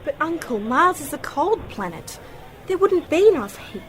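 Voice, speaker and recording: Woman playing high-voiced inquisitive niece. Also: big pitch swings. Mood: puzzled. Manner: inquisitive, quick-witted. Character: bright, inquisitive young female niece and companion. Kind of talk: radio drama acting. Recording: noticeable background noise.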